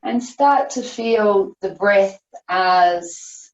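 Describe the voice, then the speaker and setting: A woman yoga teacher leading a guided meditation, giving soothing, unhurried instructions with long pauses. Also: mid-range voice. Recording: roomy, distant, muffled video-call audio.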